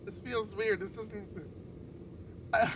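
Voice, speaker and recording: A man's voice: high voice, little pitch movement, slow pace. A man reading a visual novel aloud on a livestream and adding casual, sweary commentary. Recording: noisy livestream with clear background noise.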